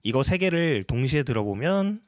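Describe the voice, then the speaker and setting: A man's voice: medium pitch, very animated, normal speed, no echo, clean studio sound. A man narrating a casual tutorial as a sound designer, explaining each step politely.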